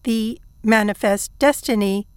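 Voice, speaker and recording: Woman playing a slow, impassive female ship computer. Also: mid-range voice, clear, resonant tone, no echo, natural voice. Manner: measured, formal, flat report delivery. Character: calm, dutiful female ship computer assistant, emotionless. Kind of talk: audio drama acting.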